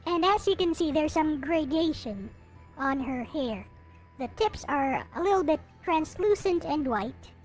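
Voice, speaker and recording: A woman with a clearly high-pitched voice talks through a casual show-and-tell. Her delivery is playful and informal, like a streamer presenting a collection. Music plays in the background as she speaks. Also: expressive, measured pace. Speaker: streamer showing collectible anime figures.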